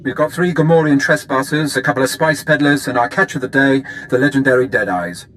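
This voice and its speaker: A man's voice: mid-range voice, a little fast, fairly bright tone, slight background noise, natural voice, poor audio. Officious male prison captain played by an actor. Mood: officious, smug. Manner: formal, officious. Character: stern, smug Imperial male prison captain. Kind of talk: video game cutscene acting, official report.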